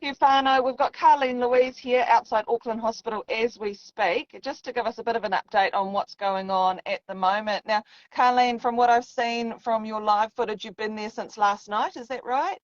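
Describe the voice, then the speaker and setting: A woman's voice: fairly high pitch, steady tone, moderate pace, hardly any pauses, no echo. A woman with a New Zealand accent hosting a live-stream interview in an informal, encouraging way. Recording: dull lo-fi live stream audio.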